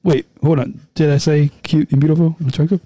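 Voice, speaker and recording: A man's voice: fairly high pitch, steady tone, fast talker, warm tone, no echo. A man co-hosting a casual podcast, signing off with repeated, stumbling, upbeat plugs. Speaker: podcast co-host.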